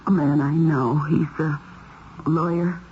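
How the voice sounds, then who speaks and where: A shaken married woman speaks in a low, halting voice, fearful and under suspicion. It is vintage radio mystery drama, with a dull tone and clear hiss over the recording. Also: steady tone. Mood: guarded, hesitant. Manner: hesitant, halting delivery. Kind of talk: 1970s radio mystery acting.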